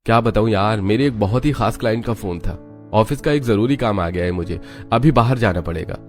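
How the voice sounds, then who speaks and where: A man acts out an exasperated young cartoon husband. His voice sits fairly low and sounds weary and lecturing. Faint music plays behind the scripted lines. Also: fairly quick, lightly acted. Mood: apologetic. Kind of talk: scripted cartoon story dialogue. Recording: music under the voice.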